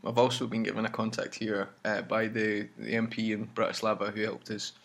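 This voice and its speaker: A man's voice: lowish voice, little pitch movement, brisk, creaky, fairly bright tone. A man hosting a casual chat about his campaign travels, talking in a rambling way with many restarts. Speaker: campaign leader and vlog host.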